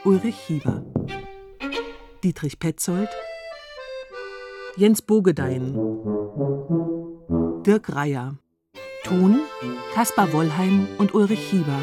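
A woman reads scripted credits as an announcer. Her voice sits fairly low, and music plays clearly behind her. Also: lively intonation, slow, pauses often.

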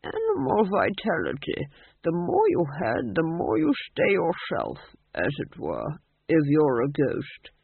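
A man's voice: on the high side, huge pitch swings, a little slow, creaky, slightly rough, no echo, heavily acted. A man voicing a cheerful, rambling old ghost of an ancient king in a storybook reading, breezy and helpful. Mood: reassuring, rambling. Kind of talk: audiobook character voice. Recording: narrow, phone-like audiobook sound.

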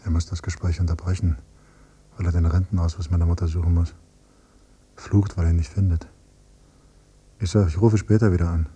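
A man acting as a grieving, quietly bitter adult son in a radio drama, speaking in a sombre, measured way. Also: deep voice, little pitch movement, husky. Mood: numb, sombre. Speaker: first-person narrator and character. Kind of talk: literary radio drama monologue and dialogue. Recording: noisy hummy cassette copy of radio play.

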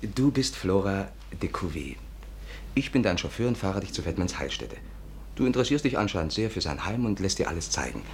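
Fast-talking, deep-voiced cocky schemer. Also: male voice, big pitch swings. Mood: coaxing, cocky. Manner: brisk, coaxing, self-assured. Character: cocky, persuasive schemer, charming and brisk. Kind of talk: 1950s German radio drama acting. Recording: noisy old 1950s radio recording.

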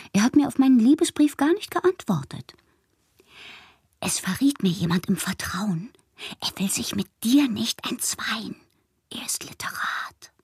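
An actress playing a passionate, capricious bohemian woman in a literary radio drama, theatrical and intimate, with a breathy voice. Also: on the high side, no echo, clean studio sound, full character voice. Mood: teasing, ardent, then hushed, scornful. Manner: theatrical, intimate, often dropping to breathy murmurs. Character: dreamy, passionate, capricious bohemian woman writing love-struck letters.

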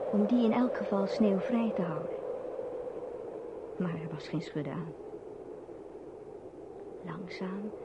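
A woman narrating a radio play in a measured, dramatic storytelling manner. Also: mid-range voice. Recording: dull, very noisy old radio recording.

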